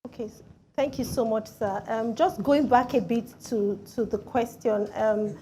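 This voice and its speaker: Woman, mellow tone, polite hesitant questioning, formal moderator, faint background noise. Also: on the high side, fairly even intonation, unhurried. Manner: polite, hesitant, frequent "you know".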